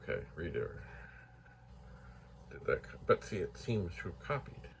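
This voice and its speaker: A soft-spoken man casually thinking aloud while testing old computer gear in his home computer room, trailing into whispered asides. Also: deep voice, sing-song, normal speed, gravelly, a little hiss or hum. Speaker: retro computer vlogger. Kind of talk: casual rambling narration while testing hardware. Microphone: phone mic.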